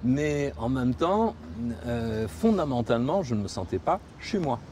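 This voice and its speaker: A man whose first language is Spanish, speaking in a reflective documentary interview, thoughtful and halting, often repeating and rephrasing as he searches for words. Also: medium pitch, very animated, a little mellow, a little hiss or hum.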